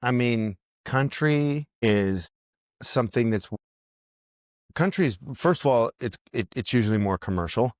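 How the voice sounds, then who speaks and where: A man's voice: mid-range voice, expressive, unhurried, dry recording, studio quality. A man, a musician, chatting casually in a podcast, thinking aloud with frequent restarts. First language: English. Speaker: musician guest.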